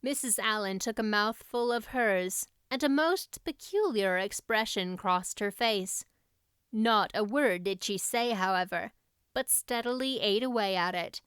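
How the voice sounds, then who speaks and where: A woman's voice: medium pitch, measured pace, fairly bright tone, smooth, no echo, clean studio sound. A woman narrating a classic novel as a scripted audiobook reading.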